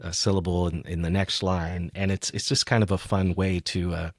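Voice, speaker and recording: A man's voice: low voice, little pitch movement, moderate pace, dry recording, studio quality. A man hosting a podcast, talking in a casual, conversational interview style. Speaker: podcast host. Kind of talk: casual conversational interview, some poetry reading.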